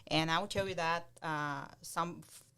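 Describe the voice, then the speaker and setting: A woman with a Bulgarian accent and a fairly low voice answers podcast questions in a blunt, direct way and laughs easily. She speaks English as a second language and sounds to be in her thirties. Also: fairly even intonation, unhurried, sharp tone, clear, resonant tone. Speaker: guest, loan officer. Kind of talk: casual podcast interview.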